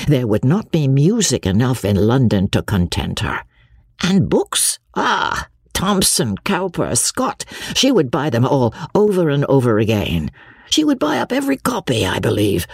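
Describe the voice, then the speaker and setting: A woman voicing a mild, courteous young gentleman in a story, measured and gently teasing. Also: a bass voice, slightly rough, no echo, studio quality, full character voice.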